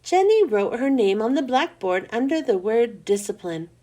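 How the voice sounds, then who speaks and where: A woman hosting a children's read-aloud, telling a storybook with expressive, emphatic delivery. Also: fairly high pitch, normal speed.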